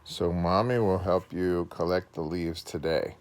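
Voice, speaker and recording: A man's voice: low-pitched, a little slow, slight background noise. A man, a father chatting casually and encouragingly with his family at home. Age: adult.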